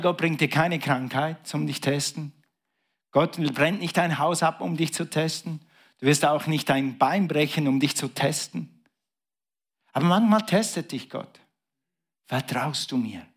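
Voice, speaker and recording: A male pastor giving a sermon, swinging between loud, high bursts and quiet, hushed passages. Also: slightly rough. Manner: preaching with big swings in pitch and volume, dropping to a hush.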